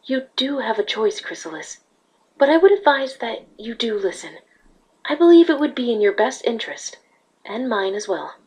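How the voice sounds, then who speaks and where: A woman as a husky, regal alicorn princess. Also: a little high, big pitch swings, normal speed, full character voice, low-quality recording. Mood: calm, firm. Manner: composed, formal, gently persuasive. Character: calm, regal, patient female winged unicorn ruler. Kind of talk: amateur dramatic fan fiction reading.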